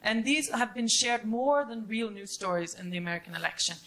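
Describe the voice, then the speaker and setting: A woman's voice: mid-range voice, fairly even intonation, brisk, fairly bright tone, rough recording. A woman in her twenties from Norway, a student audience member giving a candid, unscripted comment and question at a debate.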